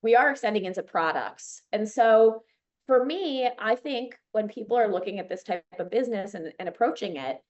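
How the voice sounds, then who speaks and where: A woman's voice: medium pitch, lively intonation, moderate pace, dull audio. A woman in her thirties with a Chicago accent, a company founder talking as a guest in an interview, chatty and enthusiastic with many fillers and restarts. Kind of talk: casual interview chat.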